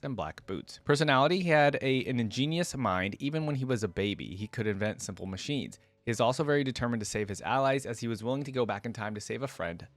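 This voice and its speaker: A man with an average-pitched voice hosts a casual reaction video. He speaks informally and directly to his viewers, moving between loose commentary and reading text aloud. The sound is close and dry, with hardly any room in it. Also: no echo. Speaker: video host, gaming fan reactor.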